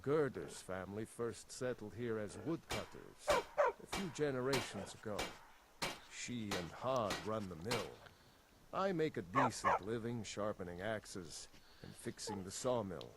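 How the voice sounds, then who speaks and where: A hard-working fantasy blacksmith. His voice is fairly low and matter-of-fact, a plain-spoken tradesman in a video game, with clear noise behind him. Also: very animated, measured pace. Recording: clear background noise with animal sounds.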